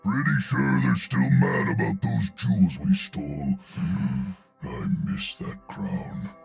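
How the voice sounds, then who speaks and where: Gravelly, rock-bottom-deep gruff outlaw brute. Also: male voice, sing-song, takes it slowly, soft background music, full character voice. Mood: wistful, gruff. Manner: terse, grumbling. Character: huge menacing masked male outlaw bodyguard, gruff, weary. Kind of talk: video game character voice acting.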